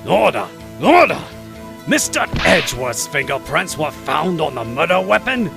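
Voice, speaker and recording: A man playing a flustered, gravelly old judge. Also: on the high side, big pitch swings, measured pace, heavily acted. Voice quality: stammering, easily flustered. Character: flustered, timid older male judge. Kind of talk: fan audio drama acting. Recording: voice over background music.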